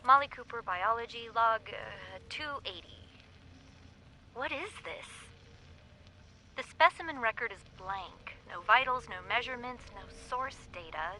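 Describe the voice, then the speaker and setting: Diligent female biologist for a game audio log: highish, bright, conversational, puzzled, faint background noise. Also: lively intonation, measured pace, heavily acted, low-quality recording. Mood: baffled, intrigued.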